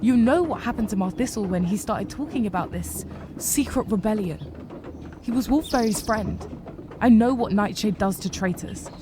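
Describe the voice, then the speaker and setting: A woman's voice: medium pitch, moderate pace, noisy background, natural voice. A woman playing an earnest, conflicted young rabbit healer's apprentice in a fantasy video game. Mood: troubled, reluctant. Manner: earnest, troubled, guarded. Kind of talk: dramatic video game dialogue.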